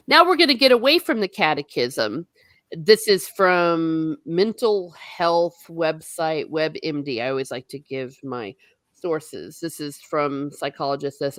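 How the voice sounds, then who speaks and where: A woman's voice: low voice, dry recording. Chatty woman hosting a livestream. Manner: chatty, laughs often, reads chat comments aloud. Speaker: stream host. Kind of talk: casual livestream commentary. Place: her home.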